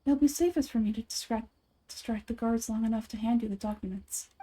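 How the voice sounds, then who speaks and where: A woman's voice: a little high, fairly quick, dark tone, lightly acted. A woman voicing an earnest, guarded scholar's assistant in a video game, serious and careful. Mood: reassuring.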